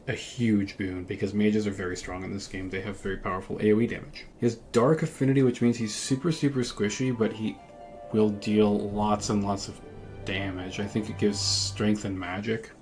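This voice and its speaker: A man with a fairly low voice hosts a let's-play of a strategy game. He thinks aloud in a casual way, explaining each decision as he goes. Light music plays underneath his commentary. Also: darkish tone. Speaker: gaming video host. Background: music behind the voice.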